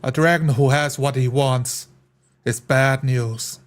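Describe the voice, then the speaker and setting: Man playing a grave, slow-spoken adventurer. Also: mid-range voice, fairly even intonation, natural voice. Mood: ominous. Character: grave, bold male adventurer.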